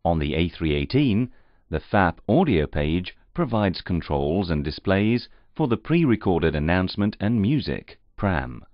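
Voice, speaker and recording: A man reading scripted instructional narration in a formal, measured, carefully enunciated way. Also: low-pitched, very animated, no echo. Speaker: training narrator. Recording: slightly muffled, dull audio.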